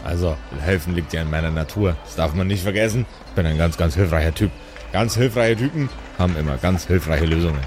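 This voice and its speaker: A man's voice: low-pitched, fast, music in the background, pitched below the performer's own voice, lightly acted. A man voicing a stout, scruffy, simple-minded and dubious helper in an improvised tabletop audio drama, folksy, repetitive and pleased with himself. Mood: self-satisfied, helpful. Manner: repetitive, folksy, self-satisfied.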